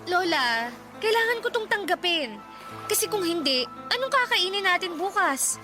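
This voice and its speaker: A woman's voice: very high-pitched, sing-song, normal speed, music in the background. A woman playing an earnest, determined young granddaughter in a Tagalog radio drama, with an emotional, pleading delivery.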